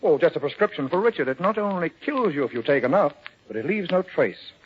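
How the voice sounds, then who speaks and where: An actor playing a matter-of-fact middle-aged physician in 1940s radio drama, genial and gently bossy. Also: male voice, fairly high pitch, expressive, fast, close to an everyday voice. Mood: concerned, dry. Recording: muffled, noisy 1940s radio broadcast recording.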